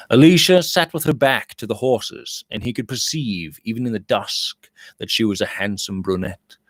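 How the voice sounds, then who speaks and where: A man's voice: fairly low pitch, no room sound. Dry-sounding man reading a novel aloud. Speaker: audiobook reader. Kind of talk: scripted literary narration.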